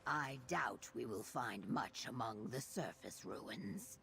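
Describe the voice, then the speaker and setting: A grave, scholarly companion in a fantasy video game. Her voice is extremely low for a woman and gravelly, with measured, formal phrasing over faint ambient noise. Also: expressive, a bit bright, heavily acted. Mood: grave, purposeful. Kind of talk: scripted game dialogue.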